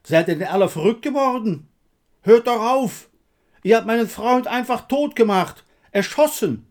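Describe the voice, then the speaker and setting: A man's voice: very high-pitched, lively intonation, normal speed, pitched above the performer's own voice. A man voicing a pale, frightened, bitter soldier in a war story, agitated and pleading. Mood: desperate pleading. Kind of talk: dramatic literary war story reading.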